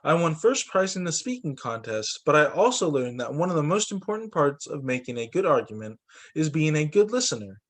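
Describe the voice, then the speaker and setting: A man reading a children's storybook aloud as a teacher, with expressive narration and acted-out dialogue. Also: a little high, brisk. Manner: animated, acts out dialogue for children.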